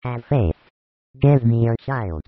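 A man reading aloud and voicing a calm, gentle yet firm religious teacher. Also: medium pitch, slow, dry recording. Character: calm, kind, authoritative male religious teacher. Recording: tinny phone-like dry recording.

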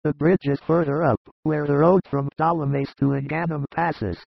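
A man playing a bluff, warm-hearted disciple with a gravelly voice and blunt, hearty manner in a dramatized religious audiobook. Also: a little high, little pitch movement, moderate pace, no room sound, muffled recording. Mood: helpful.

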